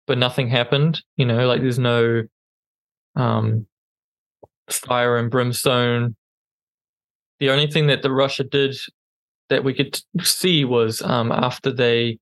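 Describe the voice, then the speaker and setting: A man with a non-native English accent talks through the news in a relaxed podcast chat. His pitch sits in the middle, and he stops and restarts often. The sound is dry and close, with little room tone. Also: no echo, low-quality recording. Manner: hesitant, many ums and restarts. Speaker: podcast co-host.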